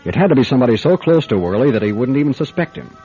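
An actor playing a brisk, wry private detective in a radio drama, with a clipped, matter-of-fact delivery. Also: male voice, mid-range voice, very animated, dark tone, highs cut off, faint music behind, lightly acted. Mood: wry, brooding. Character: hard-boiled male private detective, brisk, wry, businesslike. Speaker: lead actor and narrator. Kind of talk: radio detective drama with first-person narration.

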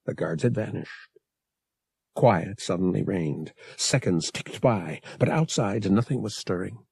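A man reading an adventure novel as an audiobook narrator, in a measured, deliberate and dramatic storytelling style. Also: sits low, gravelly, darkish tone, husky, no room sound.

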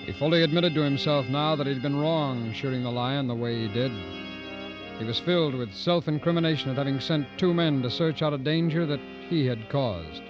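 A man's voice: mid-range voice, a little fast, music in the background. A man giving scripted narration in the manner of a 1950s radio drama. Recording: muffled old radio recording.

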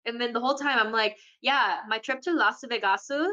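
Bright young woman, lo-fi audio. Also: on the high side, a little fast. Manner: bright, laughing, animated storyteller. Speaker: podcast co-host. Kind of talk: casual chat.

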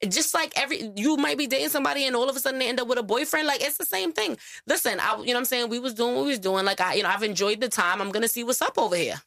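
A woman co-hosting a podcast in casual chat, lively and slangy, laughing often. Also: on the high side, very fast, gravelly, hardly any pauses, no echo, clean studio sound. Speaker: podcast co-host.

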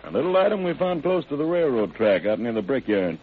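A man's voice: mid-range voice, sing-song, a little fast, noisy background. An actor playing a shrewd, suspicious lawman with clipped, slangy, probing talk in an old radio crime drama. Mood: intent, grave. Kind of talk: 1940s-50s radio crime drama acting. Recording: tinny band-limited old radio recording.

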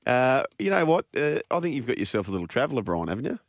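An Australian man hosting a talk radio show, brisk and teasing as he takes callers. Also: mid-range voice, sing-song, no room sound. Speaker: radio host.

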